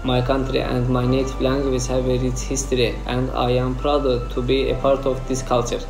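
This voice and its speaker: A man's voice: medium pitch, completely flat delivery, normal speed, reverberant, over music, rough recording. A man from Azerbaijan, an Azerbaijani native and law student, reciting a formal greeting.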